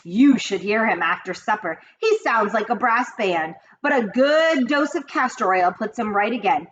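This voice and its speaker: A woman voicing a blustering, indignant middle-aged man who shouts at a hotel guest. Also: fairly high pitch, expressive, normal speed, close to an everyday voice. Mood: boastful. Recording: dull lo-fi with faint hiss.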